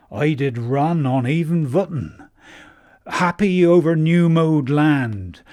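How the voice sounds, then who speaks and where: A man's voice: on the high side, a little mellow, no room sound, studio quality. A man with a Dorset accent reciting dialect poetry. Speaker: poetry reader, literary society member.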